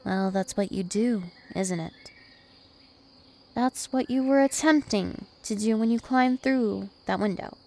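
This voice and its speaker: A woman's voice: medium pitch, a little slow, gravelly, mellow. A woman voicing a dominant, taunting, ancient vampire princess in an ASMR roleplay, with haughty, teasing menace. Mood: scornful. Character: two-thousand-year-old vampire princess, female, dominant, taunting, imperious.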